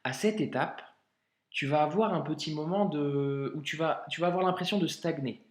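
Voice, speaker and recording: Reassuring French teacher, echoey room. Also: male voice, on the high side, moderate pace. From France. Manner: casual, reassuring, frequent "hein" and "d'accord". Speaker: Arabic reading teacher. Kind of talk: friendly tutorial speaking directly to the learner.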